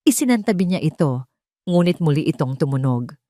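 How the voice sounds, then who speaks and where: A woman reading a scripted story as narrator, with a measured, dramatic delivery. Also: low voice, very animated, dark tone, dry recording, clean studio sound.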